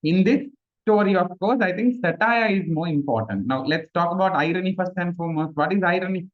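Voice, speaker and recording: A man's voice: sits high, animated, a little fast, highs cut off. A man, a Tamil speaker, giving a casual online revision class, chatty and self-mocking. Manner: chatty, mixes in Sinhala, laughs at himself. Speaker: tutor. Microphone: video call.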